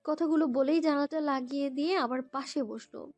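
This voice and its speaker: A woman narrating a romantic story in the first person, in a girlish, expressive, dramatised way. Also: high-pitched, brisk, no room sound. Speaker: narrator and lead voice actor. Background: background music.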